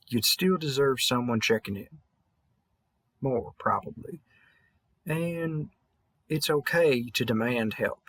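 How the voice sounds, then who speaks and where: A man's voice: medium pitch, fairly bright tone, no echo. A man playing a tired veteran vampire hunter from the American South, mumbling and rambling folksy encouragement in an intimate audio roleplay. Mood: encouraging.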